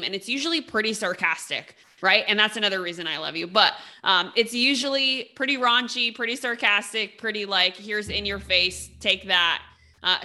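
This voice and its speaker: A woman hosts a casual podcast interview with a bright, forceful voice. She gushes over her guest and keeps checking in with "right?" as she talks. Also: medium pitch. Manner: gushing, enthusiastic, lots of fillers and "right?". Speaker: podcast host.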